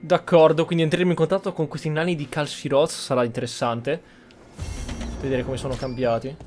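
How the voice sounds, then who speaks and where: A man's voice: a little high, level tone, fast, darkish tone. An Italian man giving casual commentary as he plays through a roleplay game. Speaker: gamer commenting on a roleplay playthrough. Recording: music behind the voice.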